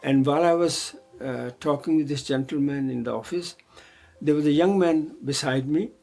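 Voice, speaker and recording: A man's voice: a little high, creaky, darkish tone, soft background music. A man, telling his life story in an oral history interview in a reflective, hesitant way. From Pakistan. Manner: reflective, unhurried, with frequent hesitations.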